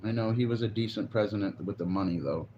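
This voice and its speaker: A man's voice: lowish voice, quick pace, warm tone, dull audio, slight background noise. A forty-year-old man livestreaming, chatting casually and rambling, swearing now and then. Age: 40s. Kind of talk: casual live stream chat. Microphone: phone on a selfie stick.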